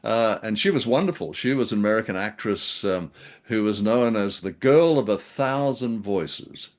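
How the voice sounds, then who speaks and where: A man with a fairly low voice chats as a co-host in a casual review. His delivery is wry and dryly humorous, with halting, hesitant phrasing. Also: lively intonation. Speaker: podcast co-host.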